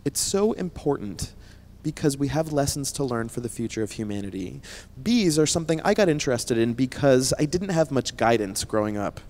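A man's voice: mid-range voice, animated, brisk, a little mellow. A man in his thirties giving an emphatic motivational talk to students on stage into a handheld microphone, often checking in with the audience. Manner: emphatic, addresses audience with frequent "right?" tags. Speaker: scientist and company founder giving a keynote talk. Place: stage at an indoor conference.

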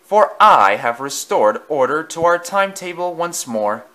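A man voicing a big, vain, boastful male engine with a grandiose, drawn-out, theatrical manner. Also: fairly high pitch, animated, sharp tone, voice pushed up. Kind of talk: storybook voice acting.

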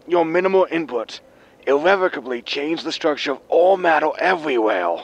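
Man acting bitter, creaky, ailing future captain. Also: fairly high pitch, expressive, moderate pace. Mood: stern, lecturing. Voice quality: wheezy smoker's lungs, coughing. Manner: scornful, emphatic, lecturing. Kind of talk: science fiction comedy audio drama acting.

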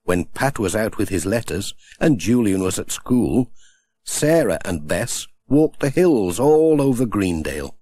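A man reading a children's storybook aloud with gentle, expressive storytelling. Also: fairly low pitch, measured pace, slightly rough. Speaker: audiobook narrator.

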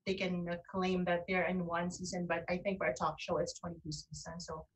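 A woman's voice: lowish voice, flat delivery, fairly quick. A woman co-hosting a casual online talk show, speaking from indoors over a video call. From lives in Canada. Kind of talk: casual chat. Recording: distant mic on internet video call.

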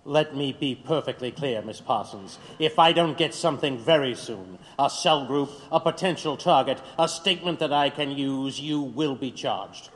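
An actor in an audio drama playing a cruel, officious government interrogator, with a deliberate, sneering, commanding delivery. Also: male voice, medium pitch, close to an everyday voice. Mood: cold, threatening. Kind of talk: dramatic audio play acting. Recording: long hollow reverb.

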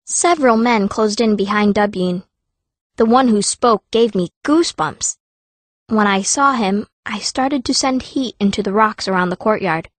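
A woman's voice: mid-range voice, moderate pace, no room sound, close to an everyday voice. A woman playing a fierce, wry teenage girl mage, narrating her own story in an audiobook. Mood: wry storytelling. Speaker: first-person narrator.

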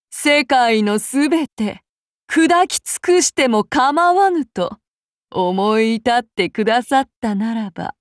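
A woman playing a soft-spoken, menacing female demigod avenger in an anime game, using archaic, formal and polite speech. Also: fairly high pitch, dry recording, studio quality. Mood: gently menacing. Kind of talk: anime mobile game voice lines.

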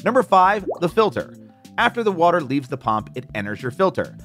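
A man giving scripted how-to narration in a clearly enunciated explainer voice. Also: fairly high pitch, very animated, brisk. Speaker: instructional narrator. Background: soft background music.

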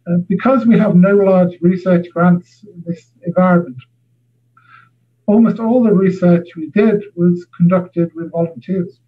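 A man's voice: high voice, slightly rough. A man giving an academic seminar talk over a video call, hesitant, with many filler sounds. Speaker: university lecturer. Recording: tinny phone-like audio.

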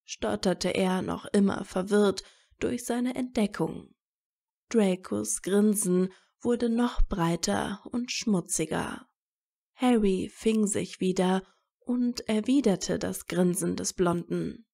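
A woman's voice: mid-range voice, slow pace, creaky, dark tone. A woman reading scripted story narration as the narrator of an audio fiction.

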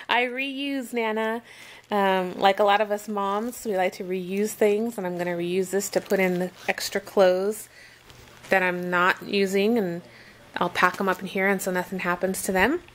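A woman casually showing and chatting about outfits she laid out, gushing and pausing often with ums, talking at home. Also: medium pitch, fairly even intonation. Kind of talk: casual show-and-tell.

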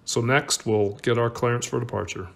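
A man's voice: mid-range voice, fairly even intonation, moderate pace, vocal fry, a bit bright. A man narrating his own flight as its pilot, explaining each step in a plain voice-over.

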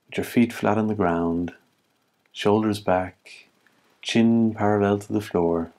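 A man leading a guided meditation as an instructor, speaking in calm, measured phrases with long pauses. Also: on the low side, gravelly.

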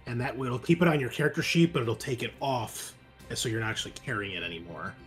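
A man's voice: medium pitch, sing-song, quick pace, faint music behind. A man acting as game master, casually narrating and explaining things to players.

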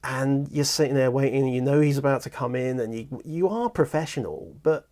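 A man in his thirties with an English accent, hosting a casual studio interview and talking enthusiastically with lively hand gestures on a lapel mic. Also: medium pitch, fairly quick. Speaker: host and audiobook producer. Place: small studio lounge with shelves of toys.

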